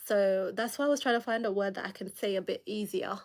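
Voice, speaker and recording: Young Kenyan-accented woman, livestream host, chatty and laughing, slight cold, mid-range pitch. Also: fast talker. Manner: chatty, laughs often, reads viewer comments aloud. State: lingering cold with coughs. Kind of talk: casual late-night livestream chat.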